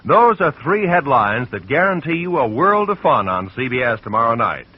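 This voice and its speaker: A man's voice: mid-range voice, very animated, moderate pace, slightly rough. A man delivering a polished, upbeat scripted radio announcement with emphatic delivery. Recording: dull old radio recording.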